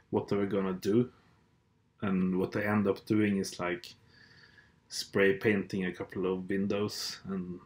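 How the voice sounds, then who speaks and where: Slow-talking Norwegian man, low voice. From Norway. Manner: hesitant, blunt, sardonic, with frequent fillers and restarts. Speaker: podcast host. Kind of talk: casual monologue.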